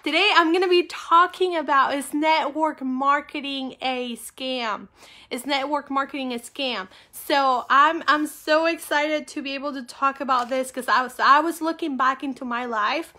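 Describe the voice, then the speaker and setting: Bright, high-pitched Peruvian-accented woman. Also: fairly even intonation, moderate pace. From Peru. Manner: upbeat, emphatic, repeats key phrases. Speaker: network marketing coach and livestream host. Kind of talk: informal livestream talk to viewers.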